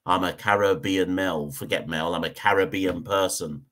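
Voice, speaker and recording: A man's voice: deep voice, normal speed, a bit bright. A man from Jamaica, hosting a cricket podcast and giving a heated opinion rant, hammering points home by repeating them. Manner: emphatic, repeats points for stress.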